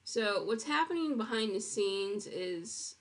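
A woman's voice: mid-range voice, expressive, slow. A woman walking through her own web project in a casual screen-recorded demo, explaining with frequent ums and restarts.

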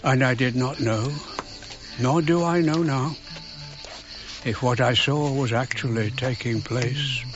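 An older actor playing a haunted old archaeologist, narrating his memories in measured, broken phrases for radio drama. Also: mid-range voice, close to an everyday voice. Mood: haunted, reflective. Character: old English archaeologist, haunted, wistfully recalling his past. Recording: dull radio recording, prominent music behind.

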